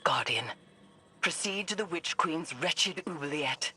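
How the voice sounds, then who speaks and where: A woman playing a vengeful, resolute dark sorceress in a video game, speaking deliberately and ominously in formal phrases. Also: low voice, animated, slightly rough, exaggerated voice. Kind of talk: dramatic video game acting.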